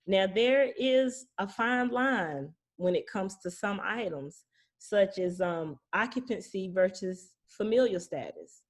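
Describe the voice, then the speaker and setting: A woman speaking as a property manager, explaining things informally and illustrating points with anecdotes and imagined exchanges. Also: medium pitch.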